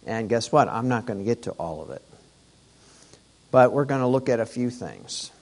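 A man teaching a weekly Torah portion, hoarse from losing his voice, talking conversationally with rhetorical questions. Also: medium pitch, expressive, normal speed, darkish tone.

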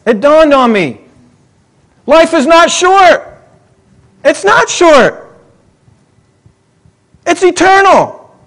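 A middle-aged man preaching a sermon in a conversational way, swinging between excited, emphatic lines and quiet muttered asides. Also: very high voice. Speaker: pastor.

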